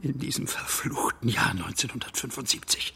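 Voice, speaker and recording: A man acting a guilt-ridden aging former police chief in a German radio drama, speaking haltingly and with agitation. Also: medium pitch, creaky, pushed and bright, breathy edge, exaggerated voice. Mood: halting confession. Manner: halting, agitated, breaking into pleading.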